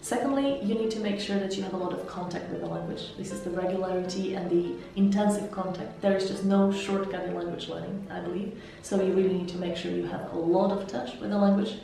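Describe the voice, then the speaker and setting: A woman with a Slovak accent explains her approach in a measured way, letting phrase ends fall softly. Her voice is mellow and dark. She sits some way from the mic in a very echoey room, with faint music underneath. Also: mid-range voice, steady tone, gravelly, far from the mic. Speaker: language mentor and interpreter. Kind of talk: interview answers.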